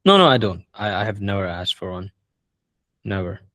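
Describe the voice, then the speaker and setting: A man hosting a casual group video call, relaxed and hesitant, often restarting his sentences. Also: deep voice, moderate pace, no room sound. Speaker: call host answering members' questions.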